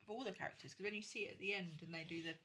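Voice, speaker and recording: A woman's voice: lowish voice, rapid-fire, bright, forceful tone, breathy edge. A woman chatting casually as a podcast guest, mostly in brief, agreeable remarks. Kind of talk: casual chat.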